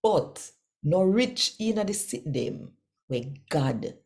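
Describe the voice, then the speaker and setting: A woman with a Jamaican accent who hosts and reads scripture expressively, addressing listeners directly and deliberately. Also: lowish voice, sing-song, gravelly, a little mellow. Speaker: channel host and scripture reader. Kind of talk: expressive scripture reading and channel presenting.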